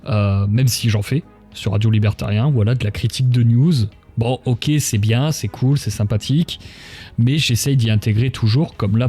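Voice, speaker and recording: A man's voice: medium pitch, mellow, breathy edge. A man talking casually on a livestream, giving his opinions in a blunt, emphatic, colloquial manner. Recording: music behind the voice. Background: music.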